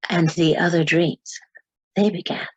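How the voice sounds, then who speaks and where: An actress playing a formal, insistent questioner in a radio play, speaking with deliberate precision. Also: female voice, on the low side, fairly even intonation, breathy edge, dull audio. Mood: probing. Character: probing, insistent, formal female interviewer.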